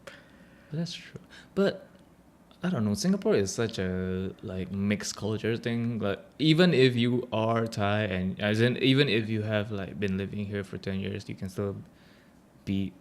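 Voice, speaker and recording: A young man hosting a casual podcast, animated and heavy on swearing. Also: on the low side, unhurried. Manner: animated, swears a lot, ranting. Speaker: podcast host.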